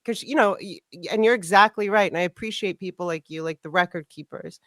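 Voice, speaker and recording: A woman's voice: fairly low pitch, quick pace, a bit bright, dry recording, studio quality. A woman talking candidly in a casual conversation, full of "like" fillers. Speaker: podcast host.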